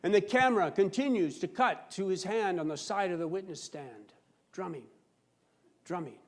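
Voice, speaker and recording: A man with a notably high voice delivers a humorous storytelling speech on stage. He boasts with a straight, mock-serious air and leans on theatrical pauses for effect. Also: lively intonation. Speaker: speech contest competitor telling a comic tall tale. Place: live on stage before a laughing audience.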